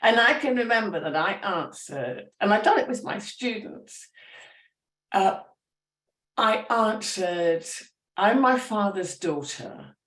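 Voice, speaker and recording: Woman, writer guest, animated, thoughtful, restarts often, roomy echo, middle pitch. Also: slow. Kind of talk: conversational storytelling. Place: public talk event.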